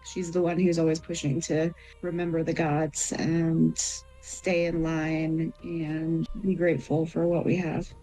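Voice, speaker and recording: A woman's voice: low voice, flat delivery, a little slow, mellow, low-quality recording. A woman playing a tabletop role-playing game, talking casually as she makes up details about her character. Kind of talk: casual improvised character discussion. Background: faint music.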